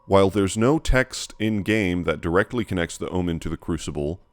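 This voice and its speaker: A man narrating a scripted, analytical lore video in a measured, explanatory way. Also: deep voice, expressive, no room sound.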